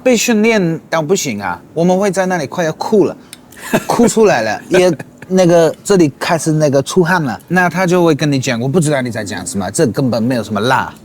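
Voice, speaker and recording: A man chatting as a guest, relaxed and storytelling, mixing English and Mandarin. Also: fairly high pitch, brisk, a little hiss or hum. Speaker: guest, son. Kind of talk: casual chat.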